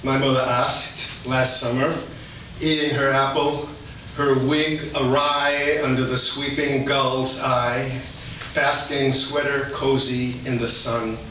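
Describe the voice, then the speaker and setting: An older man presents his poetry at a live reading. His voice sits at an average pitch. It comes from far off in a very echoey space, with loud noise over the recording. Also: flat delivery, slow. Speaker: poet. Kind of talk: poetry reading with informal spoken introduction. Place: indoor reading venue, recorded from a distance. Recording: echoey room, distant mic, dull, noisy.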